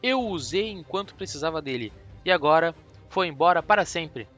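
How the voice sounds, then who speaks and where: A man playing a calm, ironic, self-assured detective in an audiobook. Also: a little high, a little fast, dull audio, faint music behind. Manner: dry, mocking, self-assured.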